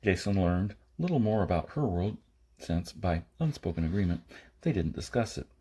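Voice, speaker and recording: Low-pitched man reading fiction, slightly husky. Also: animated, normal speed, dark tone. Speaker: audiobook reader. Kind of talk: amateur reading of a science fiction novel.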